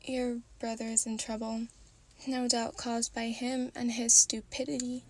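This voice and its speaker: A woman voicing a deadpan, aloof young male assassin who speaks in a flat, blank way. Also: on the high side, a little slow. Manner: flat, blank, unbothered delivery.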